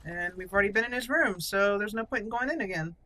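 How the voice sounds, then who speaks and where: A woman's voice: medium pitch, fast talker, bright, forceful tone, slight background noise, rough recording. A woman hosting a casual role-play let's play, thinking aloud playfully and slipping into in-character lines. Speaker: gaming channel host, role-player. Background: faint game music.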